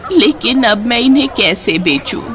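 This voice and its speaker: A woman's voice: fairly high pitch, lively intonation, moderate pace, crowd noise, noisy background, natural voice. A woman voicing a kindly, weary old village grandmother in a Hindi story cartoon. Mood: weary, dejected.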